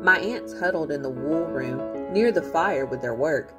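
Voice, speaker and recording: A woman reading a storybook aloud in an animated, expressive narrating style. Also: deep voice, a little slow, loud music behind the voice. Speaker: teacher reading a book aloud.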